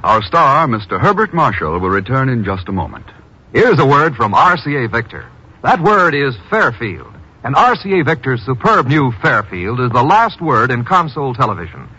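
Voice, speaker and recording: A man's voice: mid-range voice, sing-song, normal speed, bright, forceful tone, breathy edge, soft background music. A man announcing a 1950s radio advertisement with an emphatic, polished sales delivery. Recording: muffled old radio broadcast.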